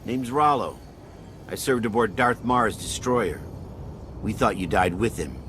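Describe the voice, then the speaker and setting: A man's voice: fairly low pitch, expressive, unhurried, fairly bright tone, slight background noise. An actor playing a friendly, plainspoken ex-military crewman in a video game cutscene. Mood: sincere, grateful. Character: grateful, friendly male spacer, ex-military crewman.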